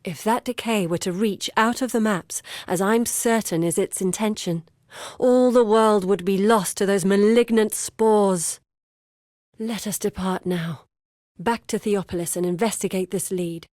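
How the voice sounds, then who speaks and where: A woman's voice: mid-range voice, unhurried, darkish tone, no room sound, natural voice. Actress doing earnest grieving scholar lady from a fantasy game, serious n urgent, well spoken, really dry studio sound. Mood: urgent, pleading. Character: earnest, determined woman scholar grieving her lost father. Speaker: video game character voice. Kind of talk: dramatic scripted game dialogue.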